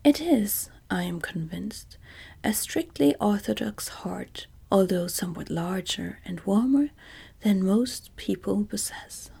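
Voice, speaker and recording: A courteous tin man emperor, stately and earnest, voiced by a woman in a hushed whisper. The sound is dark and mellow with a gravelly, breathy grain. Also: medium pitch, expressive, takes it slowly, exaggerated voice. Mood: dignified. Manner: soft whispered delivery.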